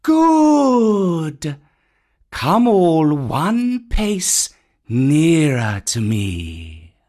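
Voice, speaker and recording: A huge, ancient python from a storybook. The voice is raised well above natural speech and swings widely. Each command is drawn out slowly, hypnotic and full of menace. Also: mid-range voice, huge pitch swings, mellow, pitched above the performer's own voice, exaggerated voice.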